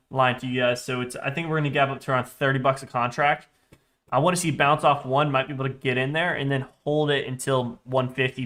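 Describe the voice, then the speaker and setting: A man's voice: medium pitch, fairly bright tone. A young man with a congested, stuffy voice, chatting casually on a live trading stream, rambling and correcting himself as he goes. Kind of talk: live-stream trading chat, reading chat aloud.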